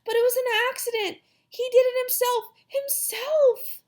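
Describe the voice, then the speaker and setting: A dramatic young schoolgirl in a children's audiobook. Her voice is shrill and very lively, with a whiny, defensive edge. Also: a little slow, exaggerated voice.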